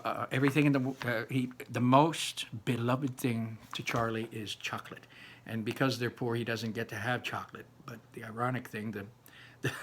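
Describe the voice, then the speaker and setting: A man with a bright, forward voice at a middling pitch hosts a read-aloud. He talks in an informal, teacher-like way, leaning on key words expressively. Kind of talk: casual teacher-like talk and storybook reading.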